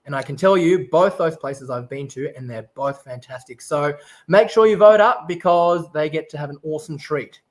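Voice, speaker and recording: A man's voice: a little high, moderate pace. A man raised in Japan, casually hosting an online festival stream and explaining things step by step in an upbeat way.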